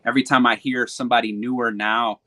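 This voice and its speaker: A man's voice: medium pitch, little pitch movement, fast talker, bright, forceful tone. A man who raps and sings, talking casually as a guest, rambling with lots of fillers and repeated words. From Michigan. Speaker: guest hip-hop artist. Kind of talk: casual interview chat.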